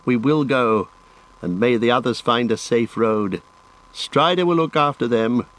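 A man voicing a gentle, resolute hobbit gentleman for an audiobook, well-spoken and earnest, chiding yet affectionate. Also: medium pitch, a little slow, natural voice, rough recording. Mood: resigned, glad. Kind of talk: audiobook character voice.

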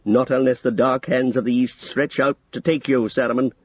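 A man's voice: mid-range voice, steady tone, normal speed, husky, dry recording, dull audio, close to an everyday voice. A man voicing a stern, weary old male wizard who speaks with commanding authority in an audiobook story.

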